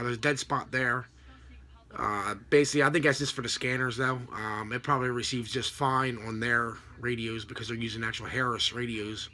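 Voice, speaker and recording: Bright-voiced American man, halting and rambling. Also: mid-range voice. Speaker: vlogger, radio scanner hobbyist. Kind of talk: casual unscripted explanation to camera. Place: small home room with a desk, indoors. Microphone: computer webcam at desk distance.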